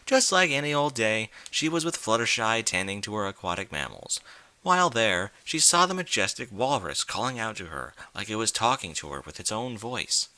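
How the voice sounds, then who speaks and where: A man's voice: lowish voice, sing-song, moderate pace, sharp tone, no echo. A man narrating a scripted story in a dramatic, theatrical and emphatic manner. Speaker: narrator, reader of fan fiction.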